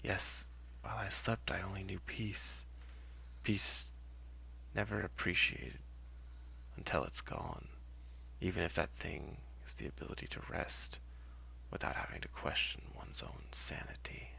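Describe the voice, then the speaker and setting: A man reads horror fiction in a very low voice, heavily raspy and breathy. His delivery is theatrical and brooding, with halting phrasing and pitch that swings widely. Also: very animated, bright, forceful tone. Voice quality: husky, gravelly, breathy whisper. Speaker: horror story writer and narrator. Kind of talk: dramatic first-person horror narration.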